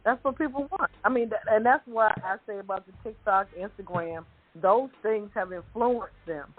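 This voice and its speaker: A woman's voice: medium pitch, moderate pace, husky. A woman panelist giving opinions in casual, loosely phrased talk show chat. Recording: muffled, band-limited audio.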